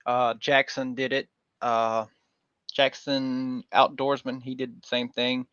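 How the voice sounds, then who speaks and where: A man's voice: mid-range voice, level tone, takes it slowly, fairly bright tone, clean tone, no room sound. Folksy man hosting a lo-fi livestream panel. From Georgia, US. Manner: rambling, folksy, with frequent fillers. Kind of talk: casual livestream chat. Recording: dull lo-fi dry stream audio.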